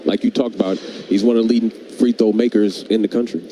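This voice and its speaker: A man's voice: on the low side, steady tone, brisk, mellow, a little hiss or hum. A man working as a color analyst on a live basketball broadcast, explaining plays in a conversational, affirming way. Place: basketball arena, courtside.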